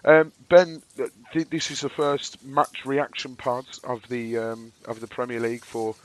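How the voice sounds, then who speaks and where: A hoarse man co-hosting a casual football podcast and running through team news. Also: mid-range voice, level tone, a little slow, a little hiss or hum, rough recording. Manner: laid-back, self-deprecating. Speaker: podcast co-host.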